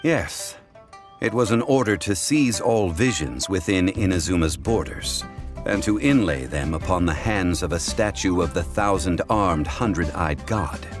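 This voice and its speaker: An ancient god living as a dignified gentleman in a video game. His voice is low, with lively rises and falls, and his speech is formal, measured and learned. Music plays behind him. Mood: solemn, explanatory. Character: ancient retired god in human form, calm, dignified, erudite. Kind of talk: scripted game cutscene dialogue. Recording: background music under the dialogue.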